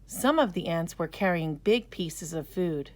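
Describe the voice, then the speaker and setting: A woman storyteller narrating a scripted children's story in a careful, expressive way. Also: lowish voice, a little slow.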